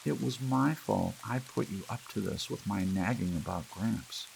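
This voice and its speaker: A man's voice: on the low side, measured pace, gravelly, a little mellow, slight background noise, pitched above the performer's own voice, rough recording. A man voicing a loving, protective wife in a storybook, tender but insistent. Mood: tearful, comforting.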